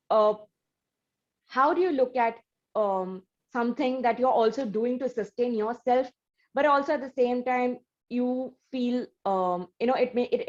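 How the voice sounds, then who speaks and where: A woman's voice: on the high side, darkish tone. A woman from India hosting an interview, asking long questions with many "you know" fillers. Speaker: interview host. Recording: slightly dull, lo-fi audio.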